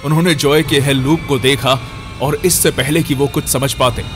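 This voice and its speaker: A man's voice: mid-range voice, steady tone, normal speed, music in the background. A man narrating a scripted horror story as its storyteller.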